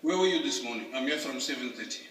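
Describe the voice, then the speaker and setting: A man's voice: mid-range voice, lively intonation, quick pace, fairly bright tone, slight background noise. A man speaking as a stern police commander in a confrontational argument, repeating his demands. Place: office, recorded from a distance. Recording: echoey, distant covert office recording.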